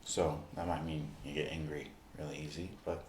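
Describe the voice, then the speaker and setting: A man speaking in an ASMR roleplay, addressing the listener directly in short, unhurried phrases with pauses. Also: a bass voice, little pitch movement, a little mellow, echoey room, slight background noise. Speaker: ASMR performer playing a basketball recovery expert.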